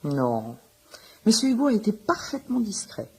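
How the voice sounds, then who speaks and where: Firm, lively-pitched lady doctor. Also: husky. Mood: stern, lecturing. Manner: measured, lecturing, dryly ironic. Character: firm, wry female doctor, patient but scolding. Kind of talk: French radio comedy drama acting.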